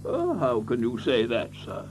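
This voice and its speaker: A man playing a fussy, excitable country doctor in a radio drama, stammering and repeating himself as he dithers. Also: medium pitch, a little slow, slight background noise. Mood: flustered, eager. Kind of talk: theatrical radio drama acting.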